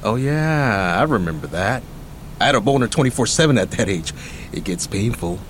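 An actor playing a streetwise, middle-aged ex-convict uncle in a radio play, rambling, candid and affectionate. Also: male voice, lowish voice, expressive, normal speed, a little hiss or hum, natural voice. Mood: teasing, protective. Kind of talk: naturalistic radio play acting.

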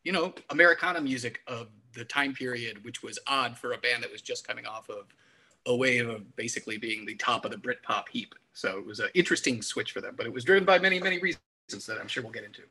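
A man's voice: mid-range voice, fast, pushed and bright, husky, rough recording. A man chatting casually as a podcast guest, explaining things in an affable way. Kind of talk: casual music discussion.

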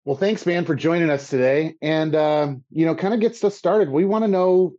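A man co-hosting a casual podcast interview, enthusiastic and quick to react. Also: a little high. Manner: enthusiastic, lively. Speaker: podcast co-host. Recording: muffled, from the mic.

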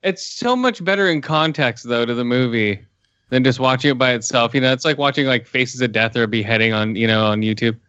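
A man's voice: medium pitch, brisk, fairly bright tone, no echo, highs cut off. A man in his forties chatting over a video call, wry and opinionated. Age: 40s. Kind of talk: casual chat.

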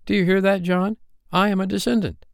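A man voicing excitable little boys in a bedtime storybook, eager, boisterous and childlike. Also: high voice, brisk, creaky, no echo, clean studio sound, pitched above the performer's own voice. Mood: excited.

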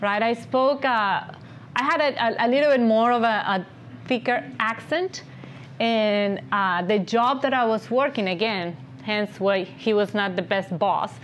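A woman's voice: a little high, a little slow. A woman with a foreign accent, the encouraging guest speaker at a business event, chatting to the room over slight background noise. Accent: non-native English accent. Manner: animated encouragement, often checks in with "right?". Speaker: guest speaker, agency founder and CEO. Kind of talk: conversational motivational business talk.

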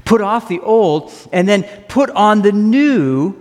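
A man preaching a sermon as pastor, earnest and emphatic, slowing down to stress key phrases. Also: high-pitched, lively intonation, darkish tone. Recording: reverberant hall.

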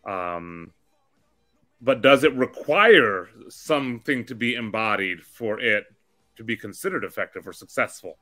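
Bright-voiced man thinking aloud. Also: fairly low pitch, animated, measured pace, soft background music. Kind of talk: casual conversation.

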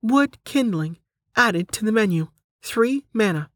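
A narrator voicing a magical game menu that announces items and prices in a formal, measured, obliging way for an audiobook. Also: mid-range voice, expressive, dry recording, clean studio sound, pitched above the performer's own voice, natural voice. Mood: announcing.

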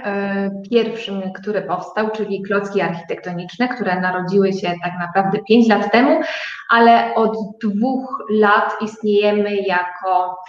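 Kobieta, gość wywiadu, odpowiada rozmownym tonem przez przytłumione zdalne łącze z pogłosem. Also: female voice, medium pitch, fairly even intonation, measured pace. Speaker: guest, co-owner of a small design startup. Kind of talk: conversational interview answers. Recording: echoey, muffled remote connection.

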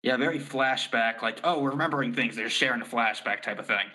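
A man with a very bright, sharp voice, chatting casually as a comedy writer. He is joking and a little self-deprecating about his work. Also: medium pitch, fast. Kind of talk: casual chat.

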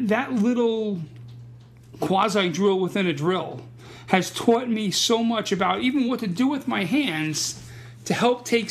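A man's voice: high voice, fairly bright tone. A man who teaches martial arts, talking casually and bluntly, often repeating words as he restarts sentences. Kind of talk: casual podcast conversation.

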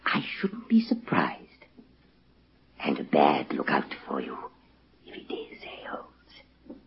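An actor playing a gloating, mock-polite villain with a British accent and a husky voice in an old-time radio drama. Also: male voice, a bass voice, wildly swooping pitch, takes it slowly, in a whisper, full character voice. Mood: gloating menace. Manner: theatrical, mock-polite, taunting, swooping emphasis. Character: gloating, sinister villain, an expert in tropical diseases. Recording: muffled vintage radio broadcast recording.

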